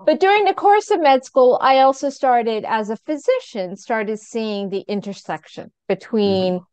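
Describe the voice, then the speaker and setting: Earnest woman with a smooth, clear voice. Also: a little high, lively intonation, a little slow, darkish tone, no room sound. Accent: American. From England. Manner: earnest, laughs easily, many fillers. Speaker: guest, physician. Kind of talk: conversational interview answer.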